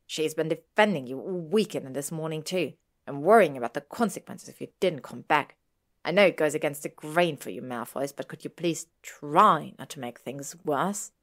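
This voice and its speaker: A woman's voice: deep voice, moderate pace, fairly bright tone. A woman playing a loyal, protective teenage boy wizard in a story, speaking bluntly and sternly.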